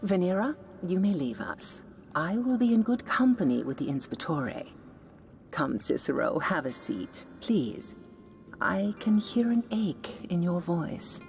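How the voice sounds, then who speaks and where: A wise blind songstress of older years for a video game, low and hushed, often dropping to an intimate near-whisper. Her pitch still rises and falls freely as she teases and muses, with faint music underneath. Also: very animated, unhurried. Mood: gentle. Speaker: game character.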